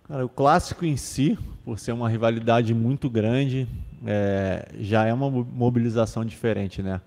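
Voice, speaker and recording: A man's voice: medium pitch, normal speed, a little mellow, big-room sound. A young man, a football defender, answering press questions in a casual way, with many fillers and restarts, into a table microphone. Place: club press room with sponsor backdrop. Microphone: table microphone on stand.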